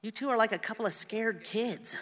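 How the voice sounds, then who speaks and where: An actress playing an exasperated, sharp-tongued older wife who teases and nags sardonically in a radio play. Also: female voice, fairly high pitch, fairly quick, big-room sound. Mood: exasperated, nagging. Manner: sardonic, nagging, outspoken.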